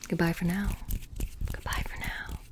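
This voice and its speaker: A woman playing a playful, menacing witch shopkeeper in a soft-spoken ASMR roleplay, breathy and often whispering, with a casual deadpan delivery. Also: normal speed, lightly acted. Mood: pushy, annoyed. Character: playful, menacing, petty witch shopkeeper selling haunted trinkets.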